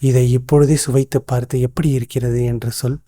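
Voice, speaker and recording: A shrewd royal minister from an animated folktale, acted by a man, with a very mellow, dark voice. He lays things out formally and deliberately, barely varying his pitch. Faint music plays behind him. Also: close to an everyday voice. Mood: sly, calm. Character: shrewd, composed male royal minister exposing a plot. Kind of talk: animated moral story dialogue.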